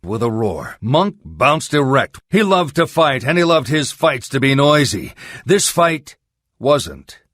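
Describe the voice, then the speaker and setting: A man's voice: medium pitch, big pitch swings, slow, fairly bright tone, dry recording, studio quality. A man narrating a pulp adventure audiobook in a dramatic, scripted storytelling style.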